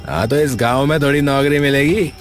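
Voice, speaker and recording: A man playing an impatient, callous young village son in an animated story, brusque and dismissive. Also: medium pitch, brisk, natural voice. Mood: irritated, dismissive. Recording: music under the voice.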